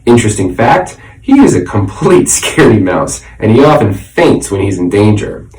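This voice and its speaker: A man reads a children's story with an animated, swooping delivery and a lowish voice. He adds explanatory asides as he goes. The room echoes around him and he sounds a bit away from the microphone. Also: normal speed. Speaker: teacher reading a children's book aloud. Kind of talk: expressive read-aloud with explanatory asides. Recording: roomy echo, distant microphone.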